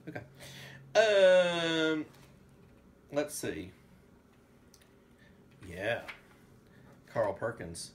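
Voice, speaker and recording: Playful dad reading aloud, noisy home recording. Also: male voice, fairly high pitch, big pitch swings, extremely slow, long pauses. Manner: enthusiastic, playful, bursts into song. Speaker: father reading aloud to his daughter. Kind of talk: read-along storytelling with casual asides.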